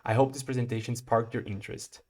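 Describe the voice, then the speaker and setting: A young man from Brazil, a PhD student, giving a prepared research talk in a measured, explanatory way with occasional restarts. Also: medium pitch, fairly even intonation, a little mellow.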